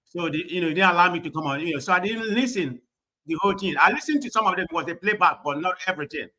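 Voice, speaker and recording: A man's voice: sits high, very fast, sharp tone. A man on a call-in broadcast who talks bluntly and rambles, filling his speech with "you know". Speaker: caller on a live broadcast. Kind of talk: heated call-in debate.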